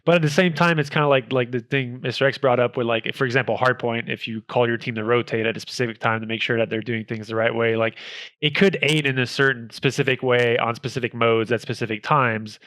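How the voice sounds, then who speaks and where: A man's voice: mid-range voice, steady tone, fairly quick, fairly bright tone, no echo. A man speaking as an esports coach in a casual discussion, thinking aloud and restarting his phrases. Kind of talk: casual round-table discussion.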